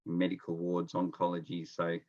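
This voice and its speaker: Dull call audio, man, Australian accent, low pitch, laid-back, colloquial, casual storytelling as interview guest. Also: monotone, normal speed, a little mellow. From Melbourne, Australia. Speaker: interview guest, experienced nurse. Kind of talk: casual anecdotal chat. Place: at home, on a remote call.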